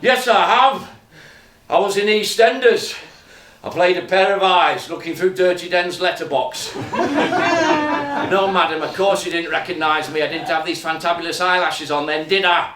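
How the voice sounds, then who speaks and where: An actor playing a brash, flirty pantomime dame who is an ugly sister, teasing the audience in a stage comedy. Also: male voice, high voice, expressive, normal speed, a bit bright. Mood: boastful teasing. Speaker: stage performer. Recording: roomy and distant.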